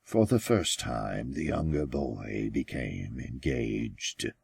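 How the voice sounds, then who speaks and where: A man with a gravelly, husky voice reading his own story as an author, in deliberate, measured audiobook narration with pauses. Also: a bass voice, animated, a little mellow, no room sound. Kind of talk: slow scripted audiobook narration.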